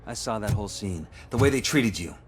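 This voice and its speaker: An actor playing a smug, ambitious politician in a video game, with a polished, unctuous, speechifying delivery. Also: male voice, lowish voice, lively intonation, brisk, close to an everyday voice. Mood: ingratiating. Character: smug, ambitious male politician disdainful of the poor.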